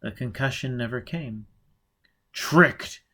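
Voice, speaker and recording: Expressive male audiobook narrator. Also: medium pitch, normal speed. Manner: steady, expressive reading, with occasional slips and self-corrections. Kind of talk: amateur audiobook reading of a novel.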